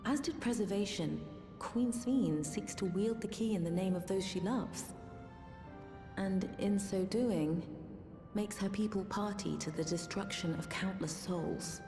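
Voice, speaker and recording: A woman's voice: mid-range voice, unhurried, close to an everyday voice. An actress playing a solemn, dignified female keeper of knowledge in a video game, grave and formal. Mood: grave, reproachful. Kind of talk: dramatic game cutscene acting. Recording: echoey hall reverb, game music.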